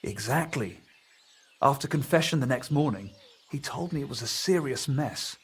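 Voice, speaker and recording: An actor playing a pious, hesitant village priest with a husky voice in a video game. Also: male voice, mid-range voice, expressive, moderate pace. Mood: reluctant, confiding.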